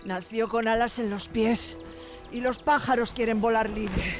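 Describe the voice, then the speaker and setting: A woman's voice: fairly high pitch, steady tone, measured pace, natural voice. A woman playing a cheerful, gossipy neighborhood midwife in a radio drama, chatty and coaxing. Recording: radio drama with music behind.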